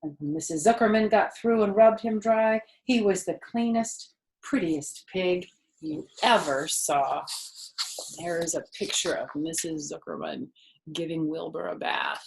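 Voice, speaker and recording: A woman storyteller reading a children's book expressively, lingering deliberately on the ends of phrases. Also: lowish voice, gravelly, darkish tone, roomy sound, low-quality recording.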